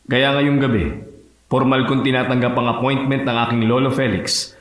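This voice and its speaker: A man's voice: medium pitch, steady tone, moderate pace, close to an everyday voice. Man acting a suave young heir, roomy echo. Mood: earnest, resolute. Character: suave, earnest young male billionaire heir. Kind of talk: romantic Tagalog audio drama acting.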